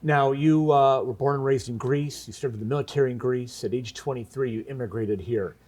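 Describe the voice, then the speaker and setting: Mid-pitched male local TV interviewer. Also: a little fast, a little mellow. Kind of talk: conversational interview.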